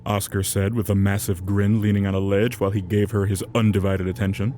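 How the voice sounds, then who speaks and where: A man's voice: fairly low pitch, flat delivery, fairly quick, mellow, a little hiss or hum. A man reading scripted narration for an audio drama. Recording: music under the voice.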